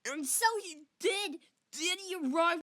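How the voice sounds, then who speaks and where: Theatrical young woman, very high and slow. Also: sharp tone, dry recording, clean studio sound. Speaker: amateur voice-over reader. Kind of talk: dramatic scripted comic narration.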